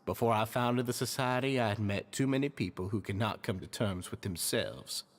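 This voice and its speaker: A man's voice: lowish voice, moderate pace, lightly acted. An actor playing an earnest, deferential male society leader in a video game, speaking politely and eagerly. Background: faint music.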